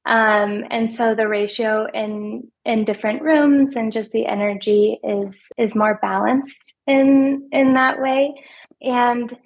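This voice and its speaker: A soft-spoken woman in her thirties, a financial advisor answering interview questions in a hesitant way, often repeating words as she thinks. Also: on the high side, steady tone, takes it slowly, rough recording. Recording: podcast remote interview.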